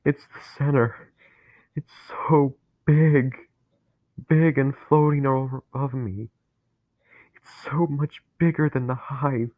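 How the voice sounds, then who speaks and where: A man voicing a dying young man who is terrified and bitter, talking in a strained, pleading way that rises to anguished shouts. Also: on the low side, fairly even intonation, slow pace, gravelly, long pauses, no echo, full character voice. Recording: tinny phone-like, dry sound.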